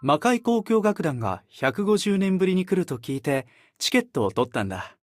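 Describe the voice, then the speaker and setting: A man as a lilting, courteous young gentleman. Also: high-pitched, sing-song, quick pace. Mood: fond, inviting. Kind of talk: character voice acting.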